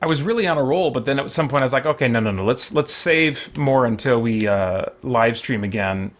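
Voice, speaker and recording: A man giving casual, halting live-stream commentary on a game, thinking aloud as he goes. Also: medium pitch, expressive.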